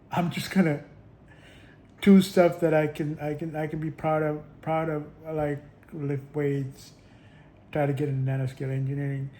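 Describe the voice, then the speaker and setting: Halting, rambling man vlogging. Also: on the high side, little pitch movement, dark tone. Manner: halting, with many restarts and stray chuckles. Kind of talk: casual rambling monologue.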